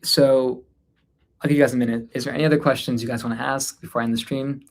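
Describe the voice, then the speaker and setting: A man from North India hosts an unscripted livestream, fielding questions in a casual, mid-pitched voice. He sounds tired, speaking informally and hesitantly, and his sentences often trail off. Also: fairly even intonation, fast talker. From North India, Delhi area. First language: Hindi, mixed with English. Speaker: livestream host answering viewer questions.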